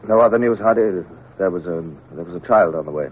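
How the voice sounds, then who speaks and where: A grave naval captain, played by a man, recalls his voyage in a deep, low voice, measured and reflective. The sound is thin and phone-like, with a faint hiss, like an old radio play broadcast. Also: natural voice. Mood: hesitant. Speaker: narrator and lead character. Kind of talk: 1950s-style radio drama.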